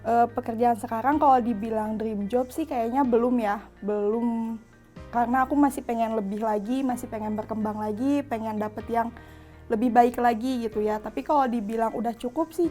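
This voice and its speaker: Young woman in her early twenties, higher voice, chatty interviewee, light music behind. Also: a little fast, warm tone. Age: young adult. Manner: easygoing, chatty. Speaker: interviewee, graphic designer. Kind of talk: casual interview answers.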